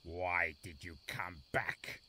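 A man's voice: low-pitched, flat delivery, slow, bright, forceful tone, full character voice. A man voicing a grumpy, aloof and lonely forest beast in a cartoon, curt and brooding. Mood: brooding, suspicious. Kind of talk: animated fan drama acting. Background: background music.

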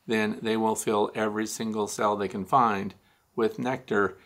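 A man's voice: lowish voice, steady tone, normal speed, a bit bright. A man in his sixties hosting a beekeeping question show, explaining step by step and answering his own questions. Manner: explanatory, poses questions then answers them. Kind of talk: casual instructional talk to camera. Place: home studio with neon sign, indoors.

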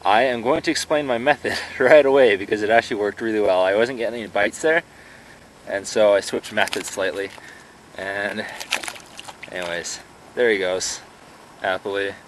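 A man's voice: fairly low pitch, moderate pace, long pauses, fairly bright tone, low-quality recording. A young man vlogging outdoors with a camera held at arm's length, talking in a chatty, rambling way. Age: young adult. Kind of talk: casual fishing vlog. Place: outdoors by a pond. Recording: faint outdoor background noise.

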